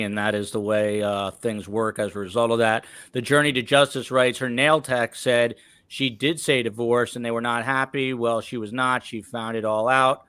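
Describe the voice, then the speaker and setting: Guy hosting a podcast panel, clear smooth voice, dry close sound, kinda halting delivery. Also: medium pitch, fairly bright tone, no echo. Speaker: podcast host. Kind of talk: casual panel discussion, reading viewer comments.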